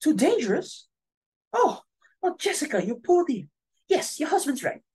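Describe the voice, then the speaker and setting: A woman's voice: high-pitched, big pitch swings, moderate pace, darkish tone. A woman playing a fussy, flustered society host in an amateur play reading, speaking huskily in fretful exclamations. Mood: solicitous.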